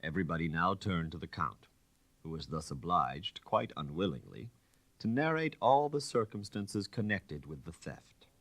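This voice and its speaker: Deep, expressive male storyteller. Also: moderate pace, no room sound. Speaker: audiobook narrator. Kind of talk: dramatic scripted storytelling.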